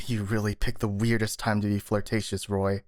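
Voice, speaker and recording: A man's voice: lowish voice, level tone, moderate pace, dry recording, clean studio sound, close to an everyday voice. A man playing a skeptical, worrying captured master ninja, sighing and hesitant, in a scripted ASMR audio roleplay. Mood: flustered.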